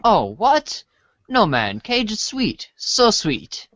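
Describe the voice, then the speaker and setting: A man's voice: high voice, huge pitch swings, takes it slowly, a bit bright, no room sound, dull audio, exaggerated voice. A man playing an earnest, easily defensive, uncool teenage boy in a casual comic reader's theater.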